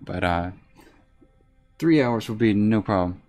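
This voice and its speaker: A soft-spoken man with a lowish voice talks through a game on a live stream, reserved and unhurried. He chats with viewers between tasks, with faint game music underneath. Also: lively intonation. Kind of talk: casual gameplay commentary, reading chat.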